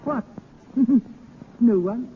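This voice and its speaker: A man's voice: very high voice, extremely slow, exaggerated voice. A man playing a sly, mocking French king in a 1930s radio drama, with wry, teasing hesitations, on a tinny, noisy old recording. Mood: excited, proclaiming.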